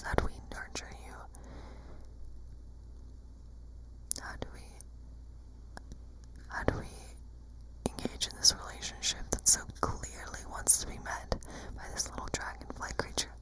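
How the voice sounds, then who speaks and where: A woman's voice: natural voice, low-quality recording. Whispering woodland witch acted by a woman. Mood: gentle, pondering. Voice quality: breathy, unvoiced whisper. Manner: slow, gentle, musing, often repeating phrases. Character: kindly woodland witch reading tarot for a guest. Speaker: ASMR performer. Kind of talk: whispered ASMR fantasy role play.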